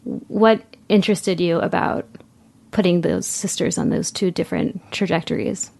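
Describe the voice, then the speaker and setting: Creaky, lowish-voiced woman podcast host. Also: steady tone, moderate pace, a little mellow. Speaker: podcast host interviewing an author. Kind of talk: literary podcast interview.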